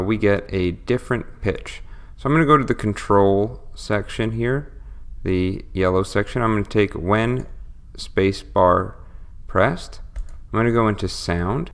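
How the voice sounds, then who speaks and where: A man with a low, gravelly voice walks through an electronics build step by step. He explains patiently and pauses to think aloud as he works. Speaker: electronics instructor. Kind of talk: step-by-step tutorial.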